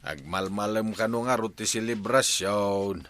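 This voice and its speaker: A man's voice: lowish voice, fairly even intonation, unhurried, fairly bright tone, dry recording. A man with an Ilocano accent playing a bickering husband in a comedy drama. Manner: bickering, exclaiming.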